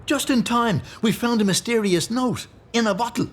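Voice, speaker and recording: A man's voice: very high voice, moderate pace, slight background noise. An actor playing a wry, gallant village pub man in a comedy audio drama, droll and flowery. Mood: earnest.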